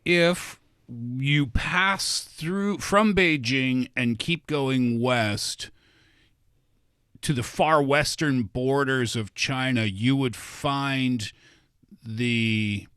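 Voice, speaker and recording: A man's voice: mid-range voice, very slow, a bit bright, clear, resonant tone, no room sound, studio quality. A man co-hosting a podcast, thinking aloud and bantering casually during a quiz. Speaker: podcast co-host and quiz contestant.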